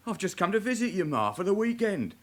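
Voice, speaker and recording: An actor playing an unruffled young criminal with a casual, confident manner in a radio drama. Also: male voice, high voice, sing-song, normal speed. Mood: breezy, cocky. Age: young adult. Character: cocky, unruffled young male London criminal, a son.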